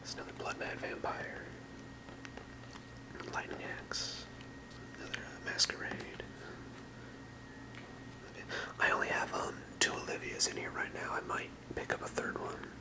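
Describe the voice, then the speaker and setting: Someone doing asmr, all whispered, soft unhurried explaining, no real voice at all. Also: rough recording. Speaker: ASMR creator presenting a trading card deck.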